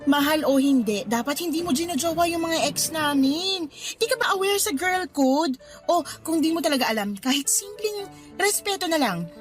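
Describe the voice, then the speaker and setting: Exasperated young Filipina friend, high voice, scolding, sarcastic, slangy, radio drama, faint music behind. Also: female voice, lively intonation, moderate pace.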